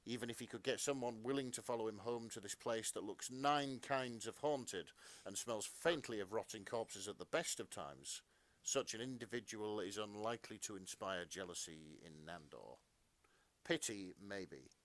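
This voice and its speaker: Low-pitched man narrating fan fiction. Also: normal speed. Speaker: podcast co-host and narrator. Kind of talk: melodramatic fan-fiction reading with casual asides.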